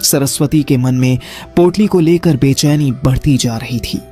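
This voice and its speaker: A man narrates a horror tale with deliberate drama. His voice sits at a medium pitch, with faint music running underneath. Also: mellow. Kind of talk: scripted horror story narration.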